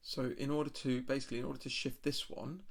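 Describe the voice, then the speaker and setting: A middle-aged man with a creaky voice talks casually and tentatively through a software demonstration, thinking aloud in a self-deprecating way. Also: mid-range voice, little pitch movement, fast. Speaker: home musician demonstrating recording software. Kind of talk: casual screen-share tutorial, thinking aloud. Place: small home room, indoors.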